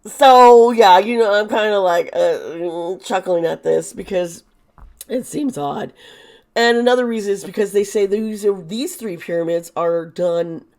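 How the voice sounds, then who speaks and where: A hoarse woman who is losing her voice, talking through a tarot topic in a rambling, chatty way with sarcastic asides. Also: medium pitch, unhurried, a little mellow. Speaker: tarot reader. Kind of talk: casual monologue.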